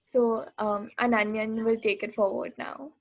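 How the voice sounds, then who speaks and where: A woman's voice: a little high, flat delivery, unhurried, vocal fry, no room sound, muffled recording. A woman in her twenties informally facilitating an online group workshop as its host. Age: 20s. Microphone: online video call mic.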